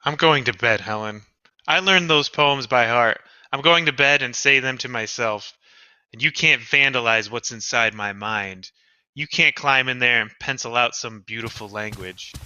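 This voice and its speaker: A man's voice: medium pitch, moderate pace, sharp tone, smooth, dry recording, highs cut off. A man playing a meek henpecked husband. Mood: quietly bitter. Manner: timid, hesitant, pleading. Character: meek henpecked middle-aged bookish husband. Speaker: radio drama actor. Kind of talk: live reading of an old-time radio script.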